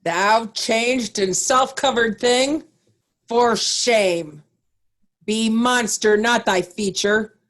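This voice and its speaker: A woman playing a morally outraged nobleman in a Shakespearean play reading, with grave, measured, condemning delivery. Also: fairly high pitch, a bit bright. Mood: outraged, disgusted. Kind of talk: Shakespearean reader's theatre.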